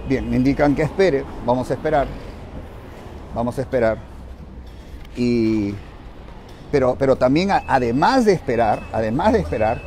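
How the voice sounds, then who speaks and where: A man's voice: mid-range voice, lively intonation, moderate pace, long pauses, dark tone. A man with a Peruvian accent coaching viewers in an instructive vlog style, emphatically repeating his phrases. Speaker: real estate investment coach. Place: outdoors on a city street. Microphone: handheld phone. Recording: street noise around a handheld phone.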